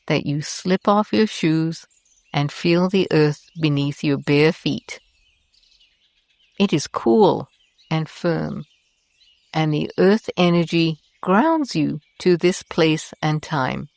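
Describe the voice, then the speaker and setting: A woman host narrating a guided meditation in a gentle, soothing and deliberate manner. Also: low voice, no room sound.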